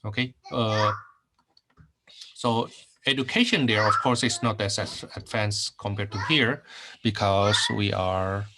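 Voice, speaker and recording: A man's voice: on the low side, unhurried, background noise. Indonesian-born man teaching math, repeating himself. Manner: repeats phrases, many fillers and okays. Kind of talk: recorded online math lecture.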